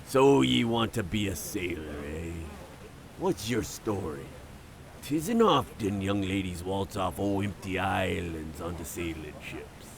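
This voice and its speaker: A man playing a gruff, superstitious older sea captain with a gravelly voice and commanding, salty sailor speech in a nautical audio drama. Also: sits low, lively intonation, slow, a little hiss or hum, exaggerated voice. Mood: wry, probing. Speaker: ship captain.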